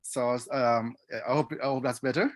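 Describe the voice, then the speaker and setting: A man from Jamaica, a guest on an online interview, telling his life story casually with many pauses and fillers. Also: mid-range voice, steady tone, slight background noise. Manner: hesitant, with many fillers and restarts. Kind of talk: casual personal testimony. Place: home. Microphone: video call. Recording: dry video-call audio.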